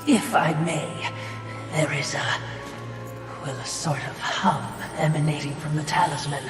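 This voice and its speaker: Slow, fawning Watcher creature. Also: female voice, low voice, steady tone. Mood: hesitant. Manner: hesitant, obsequious. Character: fawning, timid servile Watcher creature, attendant to its mistress. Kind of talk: video game character acting. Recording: echoing hall, music behind.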